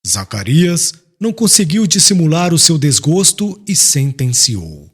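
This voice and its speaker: A man narrates a literary story in formal, dramatic phrasing. His voice sits a little high and sounds husky, with animated intonation. Faint music runs underneath. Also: a little slow. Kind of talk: scripted literary audiobook narration.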